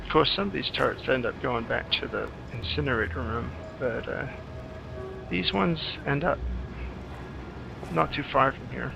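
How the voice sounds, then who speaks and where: A man's voice: medium pitch, normal speed, vocal fry, pauses often, highs cut off. A man giving casual, chatty playthrough commentary on a video game, joking back at it as he plays. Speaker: gamer, commentator. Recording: game music and sound behind voice. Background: video game music and effects.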